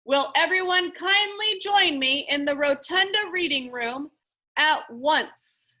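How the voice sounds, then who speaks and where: A woman's voice: pushed and bright, exaggerated voice. A woman voicing an eccentric, grandly stern man who presides like a judge in a children's storybook. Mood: booming, stern. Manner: booming, theatrical, deliberate. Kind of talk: children's book read-aloud.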